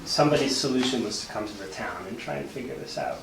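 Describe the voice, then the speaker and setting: A man arguing formally like an attorney at a public meeting, assertive and measured in his wording. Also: mid-range voice, gravelly, crowd noise. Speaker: attorney speaking for property owners. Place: indoor town board meeting room. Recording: roomy, distant mic, noisy hiss and clicks.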